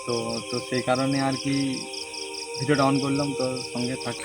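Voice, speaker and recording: A man talking to viewers as an outdoor vlogger, in a repetitive, excitable and uneasy way. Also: medium pitch, brisk. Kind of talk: outdoor ghost-hunting vlog talk, addressing friends and camera. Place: outdoors at night on a village path. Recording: loud music over outdoor night recording. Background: loud music, chirping night insects.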